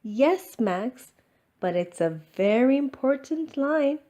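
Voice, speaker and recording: A woman's voice: sits high, expressive, slow pace, warm tone, voice pushed up. A woman voicing an encouraging but firm primary school teacher in a children's storybook, announcing and reassuring.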